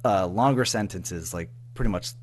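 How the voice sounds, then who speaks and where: Low-voiced American man chatting hesitantly, noisy recording. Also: normal speed. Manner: hesitant, informal, rambling. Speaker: interview guest, audio drama writer and producer. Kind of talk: casual interview chat.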